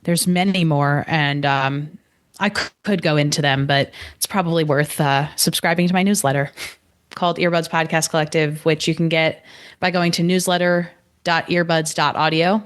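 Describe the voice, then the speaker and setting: Woman just chatting on a podcast, clean smooth voice, on the lower side. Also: fairly even intonation, normal speed. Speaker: podcast guest, newsletter writer. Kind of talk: casual chat.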